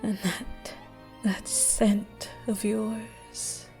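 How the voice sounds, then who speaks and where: A woman voicing a charming, flirtatious female vampire at a masked ball in an audio roleplay, speaking in soft, seductive whispers with a breathy voice. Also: mid-range voice, little pitch movement, very slow, soft background music.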